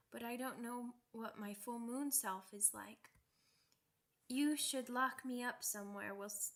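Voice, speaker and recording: Woman voicing a slow, high-voiced timid werewolf pup. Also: a bit bright. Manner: hesitant, simple childlike wording with stammered repeats. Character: young werewolf pup girl, timid, earnest, sweet, childlike. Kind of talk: ASMR roleplay acting.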